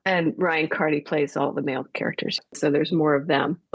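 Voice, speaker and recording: A woman with a fairly low voice speaks as a guest in a conversational radio interview. She explains things through vivid, detailed examples. The sound is close and dry, with no room around it. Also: steady tone, measured pace, slightly rough, dry recording, lo-fi sound. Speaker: interview guest, theatre artistic director.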